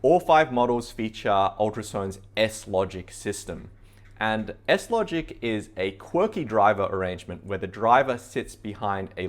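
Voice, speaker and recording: Low-pitched man presenting products. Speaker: store presenter. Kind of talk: product review to camera.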